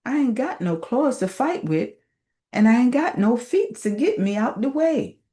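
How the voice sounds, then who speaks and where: A put-upon storybook snake, voiced by a woman reading aloud, pleading its case in folksy Southern dialect. The voice climbs high above the narration and swings around plaintively. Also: big pitch swings, normal speed, dark tone. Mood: plaintive self-defense.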